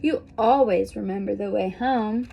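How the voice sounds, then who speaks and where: A woman voicing a loving mother zebra in a picture book, gentle, reassuring and encouraging. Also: medium pitch, a little slow, vocal fry, husky.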